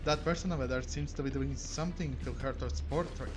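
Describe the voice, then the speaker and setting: A man's voice: mid-range voice, a little fast. A man giving casual, chatty gameplay commentary, reacting aloud to the game as he plays. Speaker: game streamer. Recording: game music behind the voice. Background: game music.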